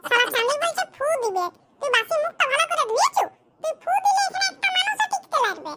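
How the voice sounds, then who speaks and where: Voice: very high-pitched, sing-song, fast, very noisy. An actor voicing a cheeky, bickering young villager in a comedy cartoon. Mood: teasing. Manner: teasing, scolding, bickering. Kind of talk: comic cartoon dialogue in village dialect.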